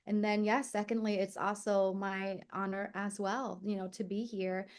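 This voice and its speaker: A woman's voice: medium pitch, little pitch movement, normal speed. A woman in her forties, born in Vietnam, telling her story as a podcast guest in an effusive way, with many fillers. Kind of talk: casual interview chat.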